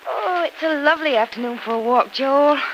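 A woman's voice: high-pitched, a little fast, natural voice. An actress with an American accent playing an earnest, stubborn young woman in an old-time radio soap opera. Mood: light, cheerful. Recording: old radio broadcast with faint noise.

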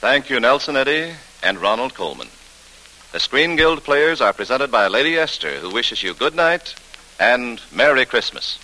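A man's voice: mid-range voice, very animated, normal speed, sharp tone, a little hiss or hum, rough recording. A man hosting an old-time 1940s radio show with formal, polished, ceremonious scripted announcements. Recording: vintage 1940s radio broadcast recording.

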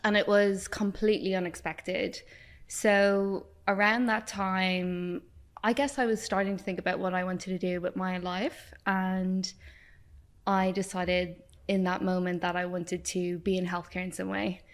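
A woman's voice: lowish voice, flat delivery, a little slow. An Irish woman in her thirties, a doctor and nutritionist, answering interview questions thoughtfully with many fillers. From Ireland. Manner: thoughtful, with many likes and ums. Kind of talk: podcast interview.

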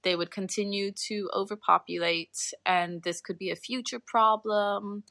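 A woman's voice: medium pitch, steady tone, a little slow, vocal fry, a bit bright, dry recording. A young adult woman talking informally as an interview guest, explaining her research and qualifying points as she goes.

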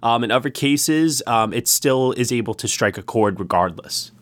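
A man's voice: mid-range voice, expressive, moderate pace, a bit bright, dry recording. A man hosting a film review discussion, speaking in a wordy, emphatic way. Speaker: podcast host.

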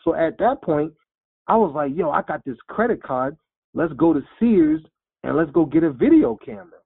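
A man in his forties telling his life story in an interview, animated and quoting himself in lively voices. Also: a little high, moderate pace, vocal fry, slightly rough. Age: 40s. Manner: animated storyteller, acts out quotes. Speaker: guest, rapper. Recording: phone-like lo-fi sound.

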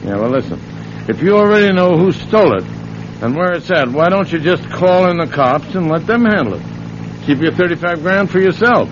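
Brash, lively wisecracking American crook. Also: male voice, high voice, a little fast. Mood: probing, wisecracking. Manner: slangy, brash, bossy. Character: cocky veteran con man and thief, wisecracking. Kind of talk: old-time radio crime drama acting and narration. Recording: muffled, hissy old radio broadcast.

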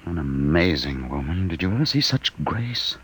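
An actor playing a polite, watchful spaceship captain in an old radio drama, with measured, courteous delivery. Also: male voice, low-pitched, sing-song, fairly bright tone, a little hiss or hum. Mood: courteous, admiring. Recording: vintage radio drama recording.

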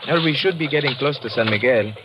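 An actor playing a polite, self-sacrificing river guide with a Spanish accent and formal English, in an old radio drama. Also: male voice, medium pitch, fast talker, natural voice. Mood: calm, warning. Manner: formal, deferential, uncontracted English. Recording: noisy old radio recording.

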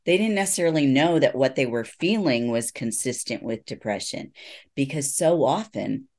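A middle-aged man, a psychologist guest, explaining things in an earnest, reassuring, measured way in a conversational interview. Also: mid-range voice, lively intonation, a little mellow.

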